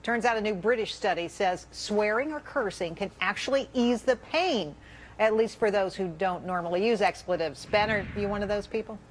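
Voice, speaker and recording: A woman's voice: mid-range voice, normal speed, slight background noise, low-quality recording. American woman hosting a news panel. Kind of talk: conversational broadcast interviewing.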